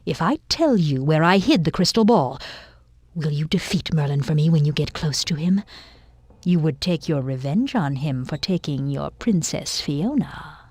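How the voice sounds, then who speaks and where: A woman playing a defeated, vengeful ice queen in a video game, speaking in a breathy, whispery voice. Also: sits low, animated, normal speed, a little mellow, no echo. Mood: conspiratorial. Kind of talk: video game cutscene acting.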